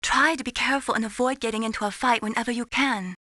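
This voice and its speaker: Young female mission operator, caring, earnest, encouraging, high bright voice, video game. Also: steady tone, fast, no echo, clean studio sound. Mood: gently advising. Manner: earnest, encouraging, gently chiding. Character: caring young Chinese-American woman, mission radio support operator.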